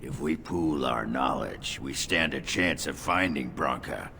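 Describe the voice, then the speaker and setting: An actor playing a crude, boastful, drunken dwarf warrior in a fantasy video game, gruff and gravelly. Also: male voice, very deep voice, expressive, a little slow, sharp tone, a little hiss or hum, full character voice. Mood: gruff, insistent. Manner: gruff, coarse. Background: game music.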